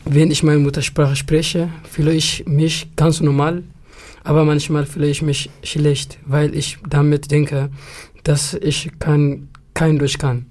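Careful non-native man, flat voice. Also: on the high side, slow pace. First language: Tigrinya. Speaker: interview guest. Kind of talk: casual interview answers.

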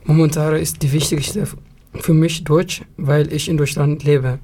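A man whose first language is Tigrinya, answering interview questions in careful, measured, non-native phrasing. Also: a little high, flat delivery, dark tone, husky. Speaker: interview guest.

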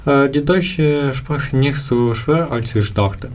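A seventeen-year-old man from Ukraine with a Ukrainian accent, answering interview questions in halting speech full of fillers as he searches for words. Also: mid-range voice, slight background noise. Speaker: interview guest.

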